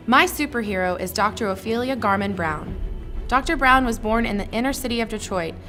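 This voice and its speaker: A woman's voice: fairly high pitch, lively intonation, brisk, bright, forceful tone. Woman reading a tribute over prominent music. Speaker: company employee reading submission stories. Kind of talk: scripted tribute narration, read aloud. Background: prominent background music.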